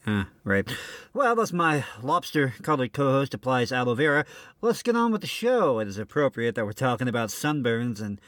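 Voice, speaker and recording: A man's voice: medium pitch, very animated, moderate pace, fairly bright tone, dry recording, clean studio sound. A man hosting an old-time radio show in scripted comic banter, mock-serious with some stammering.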